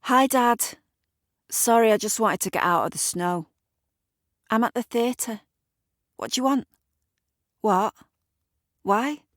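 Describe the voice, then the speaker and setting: A woman playing an evasive daughter putting on brisk, defensive cheer in an audio drama phone call. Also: fairly high pitch, dry recording, studio quality, pitched above the performer's own voice. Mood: falsely bright. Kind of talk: audio drama character dialogue.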